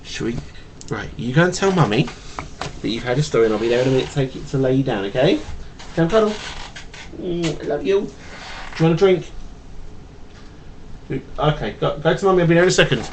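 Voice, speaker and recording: Animated dad with a stutter reading aloud. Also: male voice, on the high side, brisk, pauses often, slight background noise, poor audio. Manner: animated, expressive, stumbles and repeats words. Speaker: father reading a bedtime story to his child. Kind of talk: reading a children's picture book aloud, with asides to a child. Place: at home, webcam recording. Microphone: webcam microphone.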